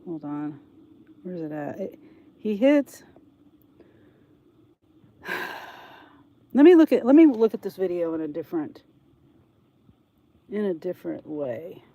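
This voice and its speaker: A woman's voice: fairly low pitch, animated, slow, pauses often, narrow, tinny audio. Congested older woman rambling casually. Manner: rambling, thinks aloud, restarts sentences. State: congested with a head cold. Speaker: vlogger. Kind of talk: casual rambling chat to camera.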